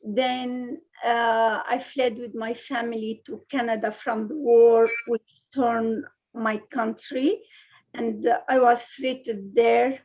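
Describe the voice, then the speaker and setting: Slow, halting woman. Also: a little high, little pitch movement, a little mellow, lo-fi sound. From Syria. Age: middle-aged. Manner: hesitant, halting with fillers. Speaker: panelist. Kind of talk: panel introduction.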